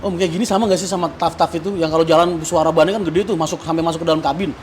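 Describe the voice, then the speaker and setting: Male guest, late thirties, Indonesian accent. Rapid, somewhat high voice, colloquial and inquisitive in casual conversation. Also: steady tone, a little hiss or hum. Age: late 30s. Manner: informal, colloquial, asking many questions. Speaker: guest, bus company figure. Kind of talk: casual chat.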